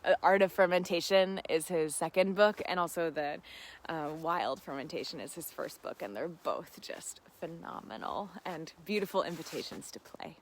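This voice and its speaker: A woman's voice: on the low side, normal speed, a little hiss or hum. A woman hosting a casual live gardening Q&A, chatting informally and expressively with enthusiasm as she answers viewer questions.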